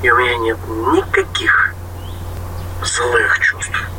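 An actor narrating in first person as a weary family man who longs to be alone, in an audio play, speaking in a hushed, confiding way. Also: mid-range voice, wildly swooping pitch, slow pace, a little hiss or hum, exaggerated voice, rough recording.

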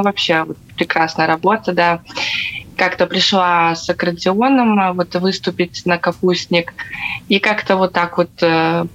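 A young woman from Latvia telling her own story casually as an interview guest, with frequent hesitant pauses. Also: fairly low pitch, a bit bright, lo-fi sound. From Riga, Latvia. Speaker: guest, theatre accordionist.

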